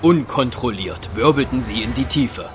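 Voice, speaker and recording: A man's voice: mid-range voice, expressive, normal speed, close to an everyday voice. A man narrating a fantasy audio drama in scripted, dramatic storytelling style. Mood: dramatic, descriptive. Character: male storyteller of a fantasy adventure. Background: music.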